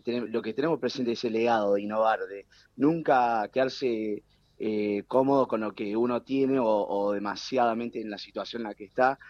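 A man's voice: medium pitch, no room sound. Young Argentine-accented man on a call. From United States. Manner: chatty, animated storytelling. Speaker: guest, TV producer. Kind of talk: casual conversation. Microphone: remote call. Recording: dull, dry remote call line.

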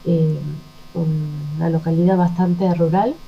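A middle-aged woman with an Argentine accent, answering reflectively in a conversational interview, pausing and searching for words. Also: fairly low pitch, little pitch movement. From Argentina, Buenos Aires province. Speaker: interview guest, poet. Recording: muffled lo-fi with mains hum.

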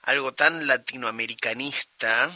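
A man's voice: medium pitch, a little slow, pushed and bright, dry recording. A man with an Argentine accent, interviewing a guest in a curious, easygoing way. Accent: Argentine Spanish. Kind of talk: conversational interview.

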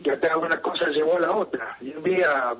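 A man in his seventies with a Buenos Aires accent, a singer being interviewed on the radio, who talks in an unhurried, reflective way with many fillers. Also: on the high side, fairly bright tone, husky, highs cut off. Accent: Buenos Aires Spanish. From Argentina. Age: 70s. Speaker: singer guest. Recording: radio broadcast with music behind.

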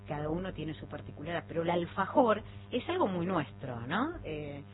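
A woman from Argentina with a Rioplatense accent, hosting a radio interview in a chatty, animated and informal way. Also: lowish voice, moderate pace, faint music behind. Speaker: radio host. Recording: phone-like radio broadcast with faint noise.

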